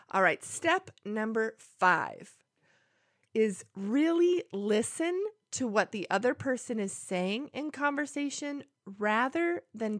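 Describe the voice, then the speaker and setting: A woman teaching English learners, explaining tips clearly and deliberately, step by step. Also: medium pitch, no echo, clean studio sound. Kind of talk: language lesson to camera.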